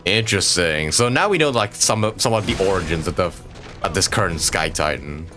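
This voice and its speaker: A man's voice: sits low, animated, moderate pace, pushed and bright, soft background music. A man giving casual live reaction commentary on a video game, joking and sarcastic, with some swearing.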